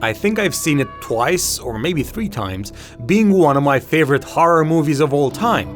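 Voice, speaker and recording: A man's voice: a little high, animated, moderate pace. A man giving casual, opinionated commentary as a video host, talking conversationally and swearing freely. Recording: music behind the voice. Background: background music.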